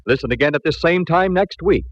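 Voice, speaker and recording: Lively old-time radio announcer man. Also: on the high side, fairly quick. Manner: polished, lively announcer delivery. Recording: dull old radio broadcast with music.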